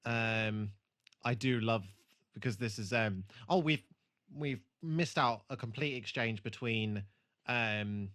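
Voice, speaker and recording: A man's voice: mid-range voice, takes it slowly, a bit bright. A British man co-hosting a podcast, reviewing an episode in casual, hesitant chat as he thinks aloud. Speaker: podcast co-host.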